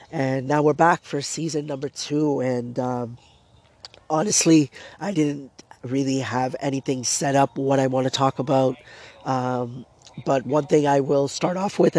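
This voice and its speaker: A man's voice: mid-range voice, darkish tone. A man hosting his own podcast, talking casually and losing his voice from a sore throat. He rambles, with many fillers and restarts. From Canada. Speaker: podcast host. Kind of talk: casual solo podcast talk. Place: outdoors in his backyard. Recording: music playing behind the voice. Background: intro music playing.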